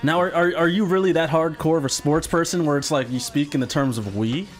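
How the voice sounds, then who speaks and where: A man co-hosting a casual talk show, joking and laughing often in quick banter, with a slightly nasal voice. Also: fairly high pitch, over loud music. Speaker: podcast co-host. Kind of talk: casual chat.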